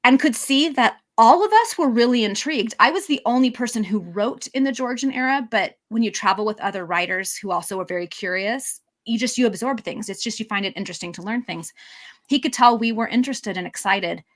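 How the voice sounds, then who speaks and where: Woman co-host telling a travel story. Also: fairly high pitch. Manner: easygoing anecdote-telling with frequent pauses. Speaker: podcast co-host. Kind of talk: casual chat.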